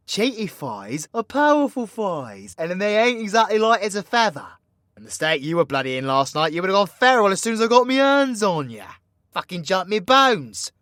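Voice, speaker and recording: A man's voice: high voice, a bit bright, dry recording, studio quality, heavily acted. A British man voicing a cocky, crude, flirty supervillain in a roleplay audio, with sweary, teasing, slangy banter. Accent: British English. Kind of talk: villain roleplay ASMR audio drama.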